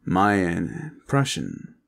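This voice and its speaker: A man playing a weary, serious and cautious protector in an audio roleplay, speaking in a measured, earnest way. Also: low voice, sing-song, creaky, fairly bright tone, heavily acted. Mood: calm, earnest.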